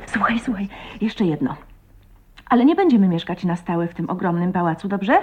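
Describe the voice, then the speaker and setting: An actress playing a shy, insecure young wife, hesitant and emotional, in 1980s Polish radio drama style. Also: female voice, lowish voice, lively intonation, moderate pace, a little mellow, breathy edge. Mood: shyly earnest. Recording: dull lo-fi radio play recording.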